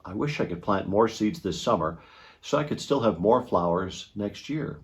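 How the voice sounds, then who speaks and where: Guy reading as a musing adventurous lady from a kids book, thoughtful, very expressive pitch. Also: moderate pace. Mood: wistful. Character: adventurous grown woman, thoughtful and content.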